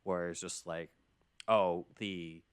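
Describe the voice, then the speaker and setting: A man's voice: deep voice, steady tone, slow pace, smooth. A man hosting a podcast, explaining a film story in casual chat with an analytical, enthusiastic fan's manner and casual asides. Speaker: podcast host.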